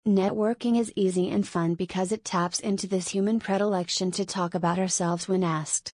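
A woman reads a script aloud as a model answer. Her pitch is in the middle range for a woman. The sound is close and dry. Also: a little mellow, dry recording. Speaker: reader of sample exam answers.

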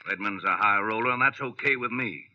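A man's voice: on the low side, brisk, natural voice. An American man playing a grim, threatening Western gambling boss with folksy tough talk, in 1940s radio acting style. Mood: menacing. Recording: muffled old-time radio recording.

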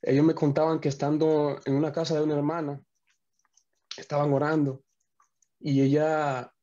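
A man's voice: a little high, flat delivery, moderate pace, dark tone, lo-fi sound. A young man from the Dominican Republic, a worship musician, talking earnestly over a video call and often restarting his sentences. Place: small home room.

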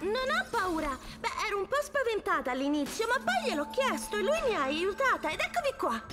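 A woman's voice: very high-pitched, very animated, fairly quick, over loud music. A woman voicing a tiny, cute female baby animal in a children's cartoon dub, childlike and singsong. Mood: relieved.

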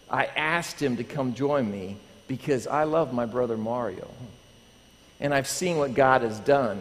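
A man in his thirties, a pastor, preaching in a lively, animated way and questioning his congregation. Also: mid-range voice, a little hiss or hum. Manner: animated, pacing the stage, asking the congregation. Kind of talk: lively sermon with prayer. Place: large church sanctuary. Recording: long church hall reverb.

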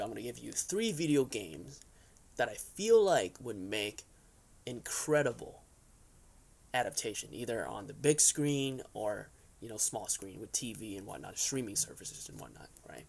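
Young man with a creaky, gravelly voice. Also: mid-range voice. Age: young adult. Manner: conversational, rambling, frequent "right?" and "you know" tags. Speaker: YouTube host. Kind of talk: casual opinion vlog. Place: furnished basement room, indoors.

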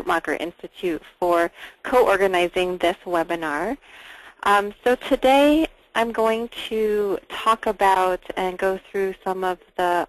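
A woman's voice: lowish voice, dry recording, poor audio. A woman presenting on a webinar, opening with thanks in a hesitant way with many fillers. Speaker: policy director presenting.

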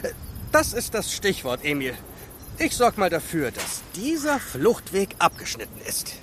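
A man's voice: sits high, huge pitch swings, measured pace, fairly bright tone, slight background noise, heavily acted. An actor playing a brash, confident boy adventurer with a dry manner in a children's radio play. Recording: music under the voice.

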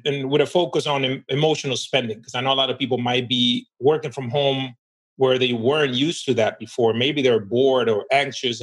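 A man's voice: mid-range voice, fairly bright tone. A man from the Dominican Republic hosting a podcast interview, upbeat and encouraging toward his guest. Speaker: podcast host.

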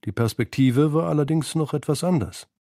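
A man narrating a scripted radio documentary in a measured, analytical manner, close to a studio microphone. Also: fairly high pitch, dark tone, no echo. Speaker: feature narrator.

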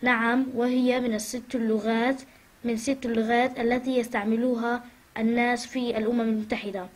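A woman teacher with an Arabic accent, a native Arabic speaker, reading a lesson aloud carefully and translating it, halting at times in English. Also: on the high side, flat delivery, poor audio.

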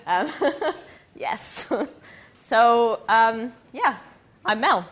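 A woman from western Canada with a scratchy, husky voice, giving a chatty slide presentation with many ums, recorded from a camera across a dim room. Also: a little high, lively intonation, very slow, a bit bright. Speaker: guest speaker. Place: dim meeting room with projector. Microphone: far camera.

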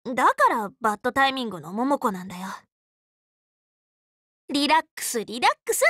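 Anime game role: teenage magical girl, loyal tomboy leader, bright forceful tone, very lively intonation, dry close studio sound, frank and casual. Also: on the high side, moderate pace, dry recording. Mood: wry, then upbeat. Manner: casual, boyish, spirited, frank speech. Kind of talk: anime game character voice lines.

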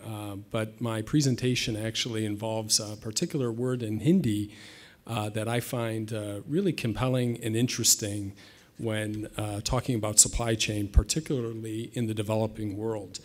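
A man's voice: on the low side, fairly even intonation, moderate pace. A man in his thirties giving a conference presentation from a stage podium, with light humor and frequent fillers, speaking English as his first language. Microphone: podium mic.

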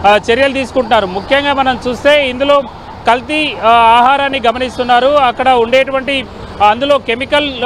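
A middle-aged man giving a halting live news report into a handheld microphone outdoors. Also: very high voice, a bit bright. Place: busy city roadside, outdoors. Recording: faint outdoor street noise. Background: faint traffic.

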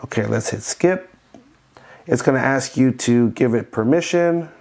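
A man's voice: mid-range voice, measured pace, a little mellow. A man hosting a step-by-step tech walkthrough for beginners, explaining simply and reassuringly. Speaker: tech tutorial host.

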